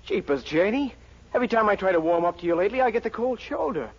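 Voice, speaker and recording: A man's voice: sits high, expressive, fast, breathy edge, exaggerated voice. An actor playing a puzzled, lovelorn young man in a slangy, plaintive 1940s radio commercial skit. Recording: dull vintage 1940s radio recording.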